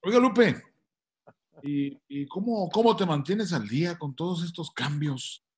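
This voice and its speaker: An older man with a husky voice and a Mexican accent playing a hesitant, thrifty Mexican man over a video call. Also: on the high side, normal speed, lightly acted. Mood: curious. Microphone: video call from home.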